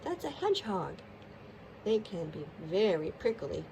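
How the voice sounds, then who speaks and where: Woman as a gentle, protective mother bear. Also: medium pitch, big pitch swings, measured pace, vocal fry, background noise. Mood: caring.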